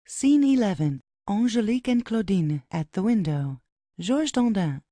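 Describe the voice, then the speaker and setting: A woman's voice: medium pitch, expressive, measured pace, warm tone, dry recording. A woman narrator reading out scene headings and stage directions from a script.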